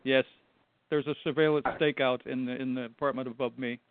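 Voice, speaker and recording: Halting older man on a tinny phone. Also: mid-range voice, level tone, slight background noise. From Buffalo, New York. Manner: halting, soft-spoken, short answers. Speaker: guest, retired Army translator. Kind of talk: call-in interview.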